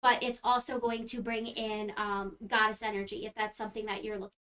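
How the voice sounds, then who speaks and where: A woman's voice: mid-range voice, flat delivery, fairly quick, fairly bright tone. Earnest woman explaining crystals. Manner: earnest, explanatory, frequent ums. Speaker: crystal healer. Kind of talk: product explanation on a live stream.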